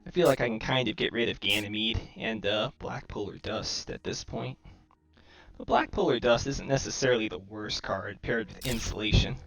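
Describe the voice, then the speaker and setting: A man's voice: fairly low pitch, moderate pace, vocal fry, fairly bright tone. A man with robotic microphone distortion, giving casual gameplay commentary and thinking aloud in short, clipped remarks. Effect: robotic-sounding microphone distortion. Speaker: gamer commentating own match. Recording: robotic, glitchy microphone sound. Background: music.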